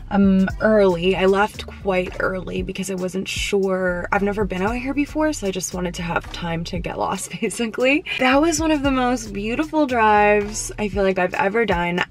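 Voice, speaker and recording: A young woman vlogs to her camera from inside a parked car, talking in an upbeat, rambling way with plenty of "like". Her voice sits at a middle pitch, and soft music plays faintly beneath it. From Canada. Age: young adult. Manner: chatty, upbeat, lots of "like". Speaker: vlogger. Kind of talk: casual vlog chat to camera. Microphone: camera on the dashboard.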